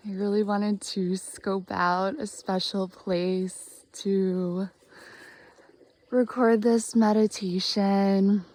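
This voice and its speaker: A woman in her thirties leading a guided meditation outdoors, speaking gently and earnestly with long pauses. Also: medium pitch, little pitch movement. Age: 30s. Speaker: guided meditation host, healer. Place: outdoors in the woods by a spring.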